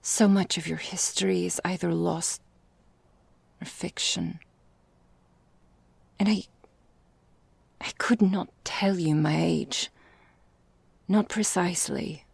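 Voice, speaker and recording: A woman playing an ancient, elegant vampire mistress in an ASMR roleplay, speaking formally and deliberately in a breathy, gravelly, whispery voice. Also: lowish voice, fairly even intonation, no echo, full character voice. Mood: brooding, haunted. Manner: slow, formal, theatrical, deliberate.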